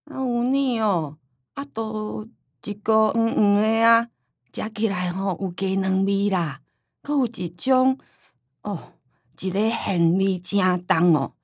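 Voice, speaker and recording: A woman playing a forgetful, homely old grandmother in a radio drama, rambling and sighing with a Taiwanese accent and drawn-out sentence endings. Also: mid-range voice, dry recording, clean studio sound. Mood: wistful, rambling.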